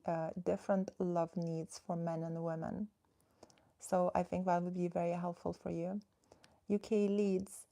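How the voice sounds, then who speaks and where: Relationship coach, woman from the Netherlands: low gravelly edge, mellow tone, chatty and direct livestream host. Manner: chatty and direct, reads viewer comments aloud, coaching advice. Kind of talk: casual livestream chat with viewers.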